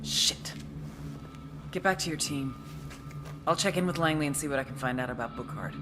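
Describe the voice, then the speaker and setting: A woman acting a tough, foul-mouthed intelligence officer in a video game cutscene, with a deliberate, hard-edged, menacing delivery. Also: a bass voice, over music. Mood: businesslike.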